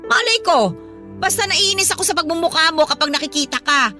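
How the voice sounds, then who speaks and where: A woman's voice: very high-pitched, lively intonation, brisk, soft background music, heavily acted. An actress playing a hostile, nagging middle-aged grandmother with a Filipino accent in a radio drama. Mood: hostile, exasperated. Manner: nagging, blunt, sharp-tongued. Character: hot-tempered, hostile grandmother scolding her son's partner.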